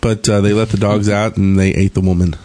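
A man's voice: lowish voice, little pitch movement, a little fast, dark tone. A man co-hosting a podcast with casual banter among friends. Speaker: podcast co-host. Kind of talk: casual banter and reading listener mail.

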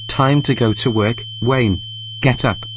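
A man voicing an unflappable, literal computer assistant in a deadpan, matter-of-fact way for an audio drama. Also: mid-range voice, slow, noisy background, natural voice. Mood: calm, insistent.

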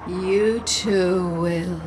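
An eerie hive voice speaks through a possessed woman, each word stretched out with dreadful slowness. Her high tone floats cold and distant over music, like a chant from a radio horror play. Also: fairly even intonation. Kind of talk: radio drama acting.

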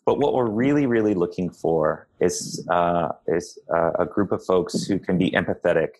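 Low-voiced man explaining in halting phrases. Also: darkish tone. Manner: measured, halting, searching for words. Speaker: interview guest, public health leader. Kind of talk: explanatory interview answers.

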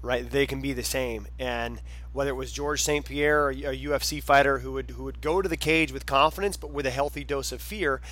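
A man's voice: mid-range voice, fairly even intonation, a little fast, hardly any pauses, a bit bright. A man hosting a podcast, enthusiastic and emphatic as he reacts to his guest and asks questions. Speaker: podcast host. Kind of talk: casual interview talk.

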